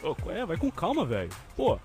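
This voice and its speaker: Guy doing young brazilian gangster for a game, slangy, indignant, quick, music behind. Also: high-pitched, wildly swooping pitch, darkish tone, full character voice. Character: streetwise, defensive young gangster come home. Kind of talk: fan dub game acting.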